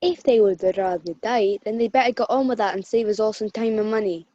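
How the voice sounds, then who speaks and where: A woman's voice: mid-range voice, fairly quick, dark tone, no room sound, lo-fi sound, close to an everyday voice. A woman playing a miserly, scornful old man in a radio play, with a curt, grumbling delivery. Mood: cold, scornful.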